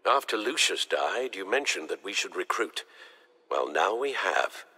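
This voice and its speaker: Elderly English butler, expressive and formal. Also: male voice, low-pitched, slightly rough, exaggerated voice. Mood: gently encouraging. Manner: formal, measured, hesitant. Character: devoted, worried elderly butler and confidant, an older man. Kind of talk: dramatic video game acting. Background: background music.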